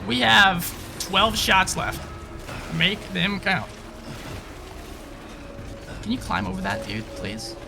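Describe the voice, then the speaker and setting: Gravelly, lively male game streamer. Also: sits high, takes it slowly, pauses often. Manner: casual, swears, chats with viewers. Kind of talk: live gameplay commentary. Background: game music.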